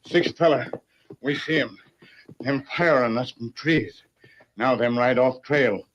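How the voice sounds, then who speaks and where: A man's voice: medium pitch, takes it slowly. A man playing a stoic, loyal western sidekick who speaks terse broken English in old-time radio acting. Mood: grave, terse. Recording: dull, noisy old radio recording.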